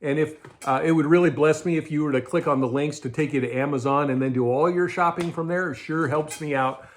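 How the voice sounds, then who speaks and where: A man's voice: on the high side, steady tone, fairly quick. A middle-aged man with an American accent explains things conversationally, like a host reviewing gear informally to camera. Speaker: host of a budget prepping show. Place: indoors in a garage or storage room with shelves.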